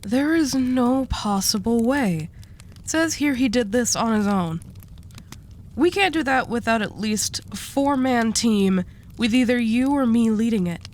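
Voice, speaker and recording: A woman's voice: a little high, lively intonation, a little slow, a little mellow. Woman acting a curt female mafia boss, noisy background. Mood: hard, determined. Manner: dry, curt, teasing. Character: commanding, sharp-tongued female mafia boss, wry. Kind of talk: audio roleplay drama acting.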